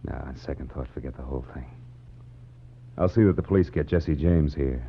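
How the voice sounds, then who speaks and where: Very deep hardboiled private eye. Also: male voice, a little fast, background noise. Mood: wry. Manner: clipped, sardonic, tough. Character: hardboiled wisecracking male private eye, cool and cynical. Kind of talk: 1940s radio noir acting, part first-person narration. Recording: dull old 1940s radio recording, music.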